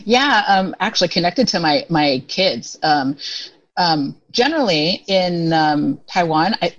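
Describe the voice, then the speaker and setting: A woman's voice: lowish voice, animated, measured pace, no echo. Chatty American woman, lo-fi call audio. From United States. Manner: chatty, many fillers, laughs often. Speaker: podcast guest. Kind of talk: casual storytelling interview.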